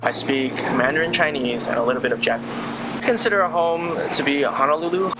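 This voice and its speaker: A young man from Taiwan who serves as an army officer, speaking in a measured, reflective way with pauses and restarts. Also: on the high side, heavy background noise. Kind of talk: personal interview. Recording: music bed under voice. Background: loud music.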